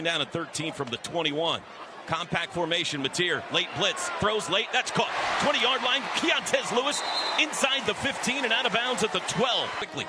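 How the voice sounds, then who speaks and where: An American man calling live football play-by-play on the radio in clipped, staccato phrases, as the announcer. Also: sits high. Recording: radio broadcast over loud stadium noise. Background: loud stadium crowd noise.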